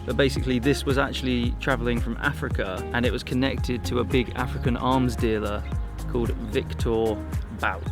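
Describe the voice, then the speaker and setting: A man vlogging in a casual, informal and enthusiastic way, as host of his own travel video. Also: mid-range voice. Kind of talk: casual vlog narration and chat.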